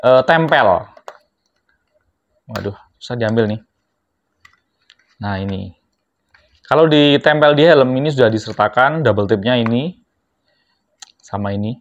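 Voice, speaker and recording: A man's voice: medium pitch, lo-fi sound. A man casually demonstrating a product for viewers, explaining it informally step by step. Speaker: product reviewer, vlogger.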